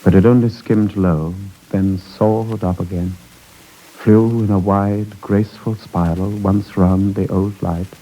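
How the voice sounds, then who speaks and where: A British man narrating a solemn wartime tale in measured, literary style for a 1950s radio drama. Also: fairly low pitch, steady tone, warm tone, low-quality recording. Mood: solemn, tender.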